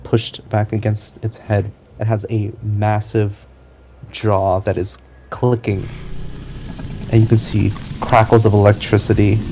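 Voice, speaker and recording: A man narrating a tabletop game as game master, improvising suspensefully with pauses in mid-sentence. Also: on the low side, warm tone, slight background noise.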